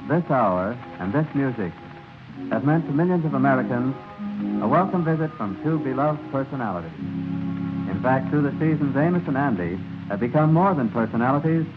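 A man's voice: medium pitch, lively intonation, normal speed. A man making a formal scripted announcement as a 1930s radio announcer. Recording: narrow-band 1930s radio broadcast. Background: music.

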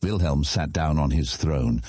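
A man narrating a fantasy audiobook in a measured, dramatic storytelling manner. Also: extremely low pitch, mellow, slightly rough, no room sound, clean studio sound.

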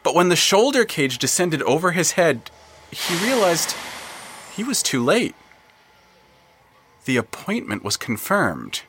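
A man's voice: fairly high pitch, sing-song, measured pace, long pauses, a bit bright, a little hiss or hum. A man narrating scripted literary fiction in a dramatic, wry storytelling manner. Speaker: narrator of an audio fiction podcast.

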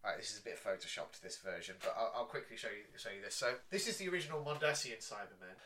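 A man's voice: fairly low pitch, lively intonation, fast talker, sharp tone, a little hiss or hum. A man co-hosting a casual review chat, explaining things with enthusiasm. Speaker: podcast co-host.

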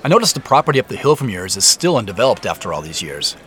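An uneasy man, lively intonation. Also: on the low side, quick pace. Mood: probing, casual. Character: uneasy, cautious professional man, probing and evasive. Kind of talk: naturalistic audio drama acting.

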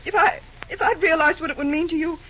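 A woman's voice: very high voice, fast talker, fairly bright tone. An actress playing a distraught, remorseful young woman in an old-time radio melodrama. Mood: pleading, remorseful. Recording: dull lo-fi old radio recording.

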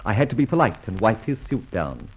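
A husky, suave, lively gentleman adventurer. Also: fairly low pitch, brisk. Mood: smugly amused. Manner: breezy, teasing, flourished phrasing. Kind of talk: old radio adventure serial acting. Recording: dull, muffled old radio recording.

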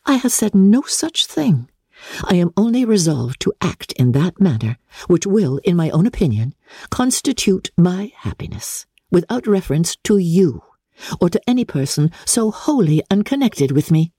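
Casting: composed but defiant young lady of a classic storybook read by a woman, dignified, mellow tone, lively intonation. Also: on the low side, moderate pace, breathy edge, dry recording, studio quality, pitched above the performer's own voice, exaggerated voice. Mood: resentful, firm. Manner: firm, formal, dignified. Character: resolute, resentful young gentlewoman, composed and defiant. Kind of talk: dramatic classic novel reading.

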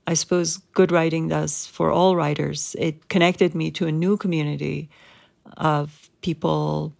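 A woman from Iran speaks English with an Iranian accent, Persian being her first language. Her voice is low and heavily gravelly. She reflects slowly and thoughtfully, pausing between phrases. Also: level tone, darkish tone. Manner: measured, thoughtful, with pauses. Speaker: interviewed writer. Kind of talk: reflective interview.

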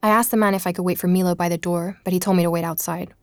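A woman's voice: on the low side, steady tone, very fast, a little mellow, natural voice. An actress playing a curious, polite woman investigator in a naturally spoken audio drama. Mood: reflective, suspenseful. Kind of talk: audio drama, intimate narration and natural dialogue.

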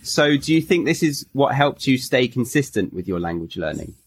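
A man's voice: mid-range voice, very animated, moderate pace, a little hiss or hum. A man who hosts a podcast and teaches English, asking questions in a friendly, encouraging way. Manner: friendly, encouraging, lots of affirming fillers. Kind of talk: casual interview chat.

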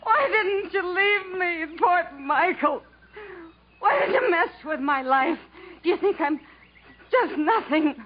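A woman's voice: very high-pitched, animated, slow pace, heavily acted. An actress playing a desperate, embittered woman stranded in the jungle, melodramatic in the manner of 1950s radio drama. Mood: desperate accusing. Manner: melodramatic, pleading, accusing. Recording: dull, noisy 1950s radio recording.

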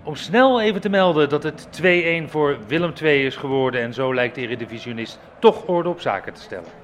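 Higher-voiced male football reporter. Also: expressive, fairly quick. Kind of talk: live sports commentary. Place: outdoors at a football ground. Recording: faint outdoor stadium ambience.

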